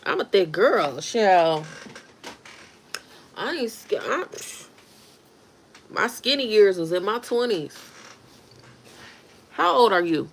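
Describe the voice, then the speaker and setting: A woman's voice: medium pitch, very animated, takes it slowly, creaky, long pauses, a little hiss or hum. A woman in her thirties chatting casually on a livestream, reacting to viewer comments as she talks. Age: 30s. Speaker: livestream host.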